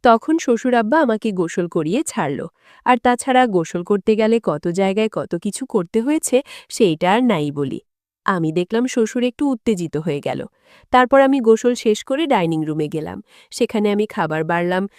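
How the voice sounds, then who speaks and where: A woman's voice: medium pitch, expressive, moderate pace, a little mellow, no echo, clean studio sound. A woman narrating a dramatic first-person story as a storyteller.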